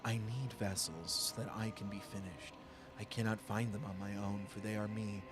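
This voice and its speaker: A man's voice: on the low side, fairly even intonation, moderate pace. A man doing live gameplay commentary, thinking aloud playfully and reading text from the game. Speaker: game streamer. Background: game music.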